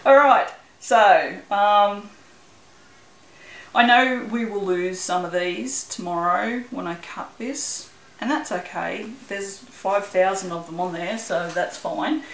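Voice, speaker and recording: A woman's voice: mid-range voice, slow, echoey room, low-quality recording. An Australian woman chatting casually as she works on a craft video, rambling a little and laughing often. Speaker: soap-making channel host. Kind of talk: casual chat while working.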